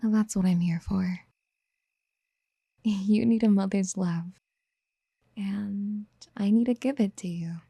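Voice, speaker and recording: A woman playing a doting, obsessive would-be mother in a soft-spoken ASMR roleplay, breathy and often near a whisper, tenderly coaxing. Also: medium pitch, slow pace, long pauses, dry recording, studio quality, full character voice. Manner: tender, coaxing, hesitant pet-name murmuring. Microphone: close microphone in a dry, quiet space.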